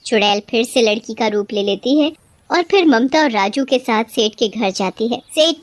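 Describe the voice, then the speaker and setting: A woman's voice: fairly high pitch, animated, moderate pace, no room sound. A woman storyteller reading a scripted children's story as narrator.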